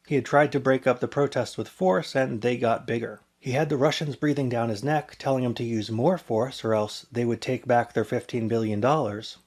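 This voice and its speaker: A man's voice: medium pitch, a little mellow. Man narrating a scripted explainer. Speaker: presenter.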